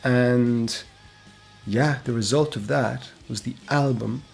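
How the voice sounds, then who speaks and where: A man's voice: medium pitch, steady tone, takes it slowly, creaky, darkish tone. A man hosting a music history show, narrating a band's story in a casual, chatty way and often tagging on "right?". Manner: chatty, keeps adding "right?". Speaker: music history channel host.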